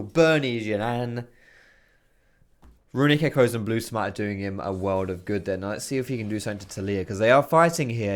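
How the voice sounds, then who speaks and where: A man, his voice going hoarse, giving lively, excitable play-by-play commentary over video game footage with casual slang. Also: medium pitch. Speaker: video host. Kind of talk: gameplay commentary.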